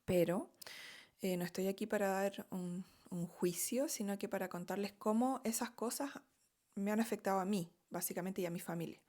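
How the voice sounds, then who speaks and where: A woman's voice: medium pitch, moderate pace, a little mellow. A middle-aged woman from Chile with a Chilean Spanish accent, telling her own story in a candid, chatty monologue, often checking in with "¿ok?".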